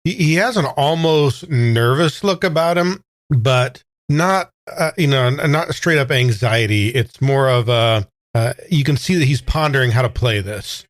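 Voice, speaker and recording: Guy playing a tabletop rpg, casually narrating his character, average pitch, dry close sound. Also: animated, unhurried, no room sound. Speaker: player in a tabletop game.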